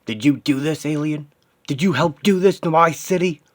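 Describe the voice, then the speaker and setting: Vengeful superhero, played by a male narrator: low, grieving, determined, terse monologue in a comic-book story. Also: steady tone, unhurried. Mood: furious. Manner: terse, dramatic inner monologue in short fragments.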